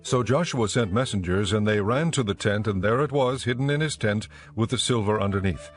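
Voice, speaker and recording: A man narrating scripture as a scripted story, in a measured storytelling manner. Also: lowish voice, faint music behind.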